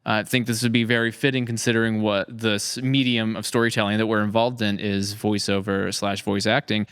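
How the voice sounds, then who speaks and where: A man's voice: on the low side, level tone, a little fast, fairly bright tone, clear, resonant tone. A man hosting a casual podcast chat, talking in an informal, enthusiastic way. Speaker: podcast host.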